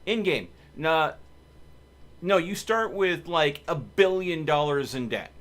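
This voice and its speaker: A man's voice: a little high, animated, slow, fairly bright tone. A man hosting a live game stream, chatting casually and commenting on his play, with game music behind him. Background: video game music.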